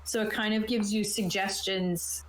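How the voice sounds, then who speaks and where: A woman acting as game master, casually explaining rules from a book in an informal, halting way. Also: medium pitch, steady tone, a loud crowd. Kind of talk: casual explanatory talk, reading from a rulebook. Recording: roomy, echoing sound.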